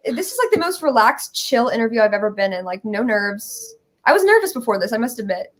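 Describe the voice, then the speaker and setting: A woman's voice: a little high, very animated, brisk. A young woman, a composer being interviewed, chatting casually in a giggly, effusive way. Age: young adult. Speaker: interview guest, musical theater composer. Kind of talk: casual chat.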